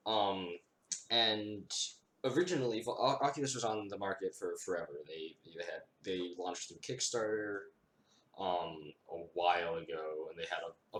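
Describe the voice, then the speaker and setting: A man's voice: sits low, fairly bright tone. A young man co-hosting a casual podcast, talking loosely with lots of fillers and laughter while explaining things. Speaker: podcast co-host. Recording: echoey room, faint hiss.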